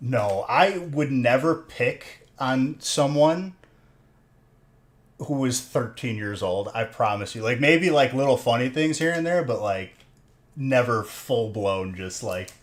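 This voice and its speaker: A man's voice: medium pitch, animated, takes it slowly. A man in his thirties hosting a live stream, chatting casually and jokingly teasing his viewers while he opens card packs. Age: 30s. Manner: teasing, joking banter with chat.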